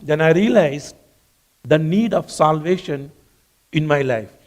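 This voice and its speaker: A man's voice: on the high side, expressive, unhurried. A man whose first language is not English, preaching a sermon earnestly and repeating phrases for emphasis. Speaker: pastor.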